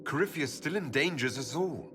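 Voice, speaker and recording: An actor playing a cautious, protective elven leader in a fantasy game, questioning earnestly and firmly. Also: male voice, fairly high pitch, lively intonation, normal speed, natural voice. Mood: grave, resolute. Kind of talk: dramatic fantasy game dialogue. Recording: clear ambient noise behind voice.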